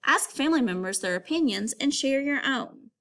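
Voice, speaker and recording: A woman teacher reading an informational text aloud to young children, pausing to give instructions and put questions to them. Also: sits high, expressive, fairly bright tone.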